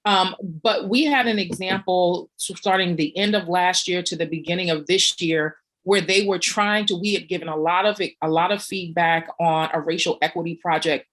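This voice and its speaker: Low-voiced earnest woman panelist. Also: moderate pace, hardly any pauses, fairly bright tone, poor audio. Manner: earnest, reflective, frequent "um" fillers. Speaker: panelist, community organizer. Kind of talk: panel discussion.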